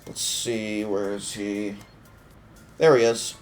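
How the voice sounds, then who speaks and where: A man's voice: lowish voice, slow, soft background music. Stuffy-nosed man commenting on gameplay. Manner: relaxed, offhand, thinking aloud. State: stuffy from a cold. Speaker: mobile game player.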